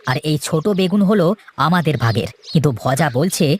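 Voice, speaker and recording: A man's voice: fairly high pitch, animated, normal speed, close to an everyday voice. A man voicing a doubting but good-natured village farmer in a children's cartoon, talking in a colloquial, questioning way. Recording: faint noise with bird chirps.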